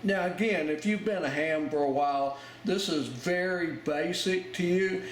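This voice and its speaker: A man giving an informal ham radio lesson, talking in halting pieces as he explains a demonstration step by step. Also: on the high side. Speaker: amateur radio instructor. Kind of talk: informal instructional narration over a demonstration. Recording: roomy, loud noise, radio clicks and tones.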